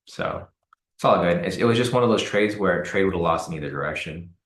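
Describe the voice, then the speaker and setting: Low-voiced raspy guy chatting on his trading stream, hoarse, lots of ums, roomy echo. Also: a little fast. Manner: relaxed, repeats words and uses many fillers. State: hoarse from cold weather. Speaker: trading livestream host. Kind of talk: casual live-stream commentary answering chat.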